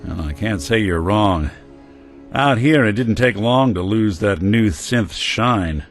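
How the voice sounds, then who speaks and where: An actor playing a world-weary male synth detective in a game, talking with a gruff, laconic noir drawl. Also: fairly low pitch, animated, slow, soft background music. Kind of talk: game companion dialogue.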